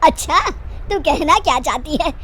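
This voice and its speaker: A woman voicing a bossy, sharp-tongued elderly mother-in-law in a cartoon family story, scolding with indignant emphasis. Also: high voice, animated, measured pace, pitched above the performer's own voice.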